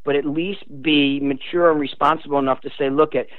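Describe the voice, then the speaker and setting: A man's voice: on the high side, steady tone, brisk, dry recording. Man on a tinny phone line. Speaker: guest author. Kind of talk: explanatory radio interview talk.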